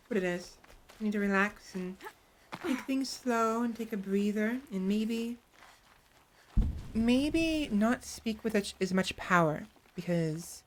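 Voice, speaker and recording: A woman with a gravelly, fry-laden voice talks softly and hesitantly into a close microphone while she streams. She circles back and restarts her sentences often. A faint hiss sits under her voice. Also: medium pitch. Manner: soft, hesitant, self-reflective, many restarts. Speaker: VTuber streamer. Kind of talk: casual chat while livestreaming a game. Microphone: close desk mic.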